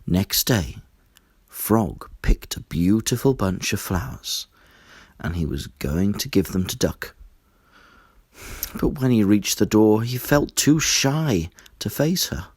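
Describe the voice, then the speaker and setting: Slow, expressive male storyteller, slightly husky. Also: fairly low pitch, no echo, studio quality. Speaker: storybook reader. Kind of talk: scripted children's story narration.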